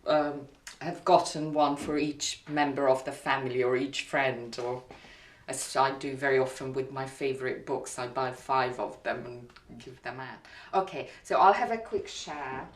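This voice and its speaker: A middle-aged woman speaks in a deep register, in an echoey room. She talks candidly and unhurriedly, searching for words and repeating some as she goes. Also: measured pace. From outside the UK, non-English-speaking country. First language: not English. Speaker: documentary subject, interpreter. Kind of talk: candid reflective conversation. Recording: echoey room with background hiss.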